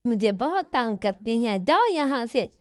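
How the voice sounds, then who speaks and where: A woman's voice: fairly high pitch, big pitch swings, a little slow, a little mellow, no room sound. A woman voicing an irritable female householder in a cartoon story, scolding impatiently. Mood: angry. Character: irritable female householder bird dismissing a job seeker.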